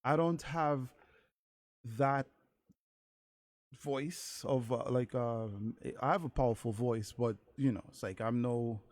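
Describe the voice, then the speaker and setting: A man's voice: medium pitch, slow, long pauses, darkish tone. A man who is a singer guest on a radio show, talking candidly and hesitantly with many fillers. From Saint Thomas. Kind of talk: casual interview chat. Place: radio studio.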